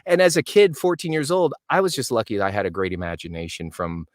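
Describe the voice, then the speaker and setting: A middle-aged man, a voice actor, chatting as an interview guest and telling stories with animated enthusiasm over a video call microphone. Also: medium pitch, quick pace, no room sound, clean studio sound. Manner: animated, enthusiastic storytelling with frequent restarts. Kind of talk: casual interview chat.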